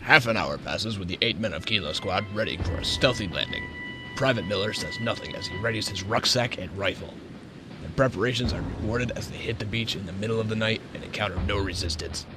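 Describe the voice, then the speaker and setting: A man's voice: on the low side, a little fast, music in the background. A man reading scripted narration for an amateur radio war drama. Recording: noisy amateur recording.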